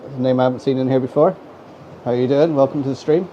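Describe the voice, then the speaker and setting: A man's voice: mid-range voice, fairly even intonation, fast talker. An Irish man with a head cold, sounding stuffy, chatting casually as he hosts a live stream and greets viewers by name. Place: home model railway room. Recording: dull, slightly lo-fi home mic with faint noise.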